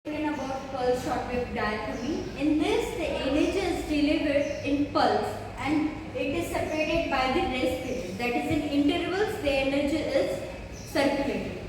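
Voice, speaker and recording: A woman's voice: high-pitched, lively intonation, normal speed. A young woman with an Indian accent gives a formal, prepared educational presentation, reciting it point by point as a student presenter. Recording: very echoey room, distant mic, noisy.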